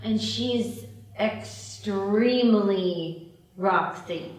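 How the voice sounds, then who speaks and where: A woman's voice: medium pitch, extremely slow. A woman playing a tipsy, laid-back, giggly young woman at a table read. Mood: tipsy, amused. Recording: very echoey room, distant mic.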